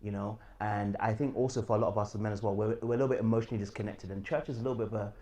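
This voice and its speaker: A man from Birmingham talking frankly and relaxed in a casual panel discussion. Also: on the low side, rapid-fire. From Birmingham, England.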